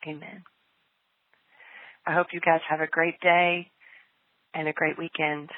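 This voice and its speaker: A woman with a fairly low voice leads a guided prayer. The recording is thin and narrow, like it came over a phone line. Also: flat delivery, measured pace. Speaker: prayer leader.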